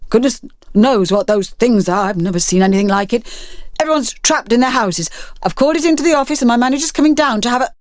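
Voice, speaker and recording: A woman voicing a frazzled, flustered male pest controller in an audiobook, hurried and alarmed. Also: a little high, darkish tone, dry recording, clean studio sound, pitched above the performer's own voice, lightly acted.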